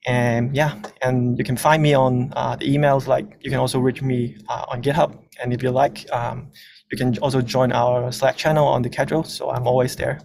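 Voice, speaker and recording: A man's voice: mid-range voice, creaky. A young man from Hong Kong giving an informal, somewhat hesitant technical presentation over a video call. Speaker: conference speaker, software engineer.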